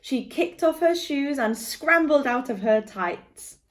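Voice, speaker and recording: A woman reads a storybook for young children. Her voice sits fairly high and she takes her time, shaping each phrase expressively. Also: lively intonation, slow pace.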